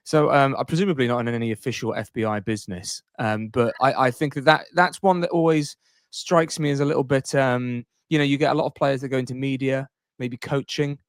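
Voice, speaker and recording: A man's voice: medium pitch, fairly even intonation, brisk, no room sound, clean studio sound. A man co-hosting a sports podcast in a casual, informal, conversational way.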